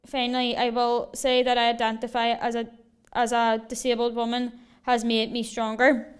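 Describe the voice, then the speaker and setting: A young woman from Northern Ireland reading a prepared rally speech at a measured, deliberate pace. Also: on the high side, monotone, smooth. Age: young adult. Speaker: rally speaker. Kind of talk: prepared speech read aloud. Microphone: video call.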